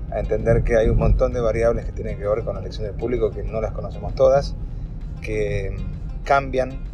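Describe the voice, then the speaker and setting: A man, a TV producer, giving reflective interview answers in a measured, hesitant way. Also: on the low side.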